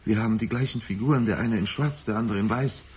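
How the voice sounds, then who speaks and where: A man acts out an ageing, bitter father in a radio play. His voice sits low, and his manner is brooding and accusing, with a volatile, desperate edge. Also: fast talker, a little mellow, close to an everyday voice. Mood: shaken, desperate. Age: older. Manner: brooding, accusing, prone to agitated outbursts. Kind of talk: German radio drama acting.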